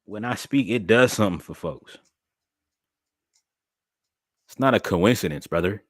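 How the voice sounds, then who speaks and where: Low-voiced animated man testifying to chat. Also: a little slow, dry recording, studio quality. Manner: animated, preacherly, talks straight to chat. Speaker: streamer and podcast host. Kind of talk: casual livestream chat, preachy testimony.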